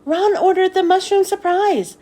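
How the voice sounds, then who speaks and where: A woman voicing excitable young schoolchildren in a storybook, with a childlike, exclaiming manner. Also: very high-pitched, normal speed, pitched above the performer's own voice. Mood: excited.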